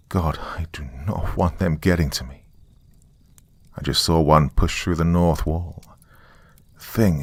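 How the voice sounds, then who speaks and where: A man playing a doomed, weary survivor recording a final message in a horror story, hushed and halting with bitter, wry asides. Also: sits low, no echo, exaggerated voice. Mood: frightened, hushed. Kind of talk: dramatic first-person horror monologue.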